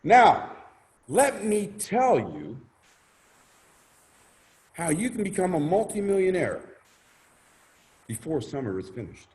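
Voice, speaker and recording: A man presenting a motivational sales pitch in an emphatic, theatrical way, repeating phrases and asking rhetorical questions. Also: wildly swooping pitch, takes it slowly, long pauses, a little mellow. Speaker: presenter pitching a crowdfunding scheme.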